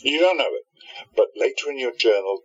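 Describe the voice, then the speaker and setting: A man's voice: mid-range voice, expressive, a little fast, husky. A man playing a confident time-traveling hero in an amateur audio drama, reassuring and authoritative. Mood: concerned, confiding. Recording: dull, muffled lo-fi recording.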